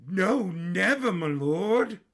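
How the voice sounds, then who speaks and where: A man's voice: sits high, expressive, slow pace, a bit bright, voice pushed up, exaggerated voice. A man voicing a timid young dog-headed mutant waiter in an audio story, hesitant, nervous and polite. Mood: fearful, pleading.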